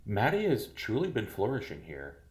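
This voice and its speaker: Casting: man as a measured, fatherly school head, very deep voice, echoey room. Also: wildly swooping pitch. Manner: soothing, paternal, measured. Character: middle-aged male school head, falsely kindly. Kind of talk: audio drama acting.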